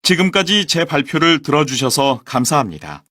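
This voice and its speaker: A man reading a scripted presentation for a language textbook recording, with clear, measured, formal delivery. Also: a little high, sing-song, bright, forceful tone, no room sound, studio quality. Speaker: textbook audio voice actor.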